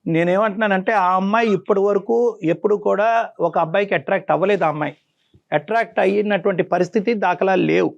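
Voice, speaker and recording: A man's voice: sits high, fast talker, gravelly. A man acting as a doctor, counseling a caller on air in an earnest, persuasive way. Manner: earnest, persuasive, pleading repeatedly. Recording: dull lo-fi sound.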